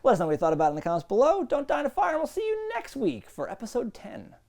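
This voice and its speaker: Fast, animated co-host chatting. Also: medium pitch, gravelly, slight background noise. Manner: animated, often mimicking quoted lines. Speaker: co-host of a TV episode review show. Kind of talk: casual review chat.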